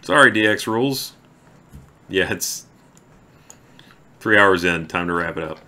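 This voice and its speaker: Deep-voiced man chatting on a stream. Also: animated, a little hiss or hum. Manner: halting, trails off into quiet mumbles. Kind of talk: casual chat with stream viewers; slow, deliberate poetry reading.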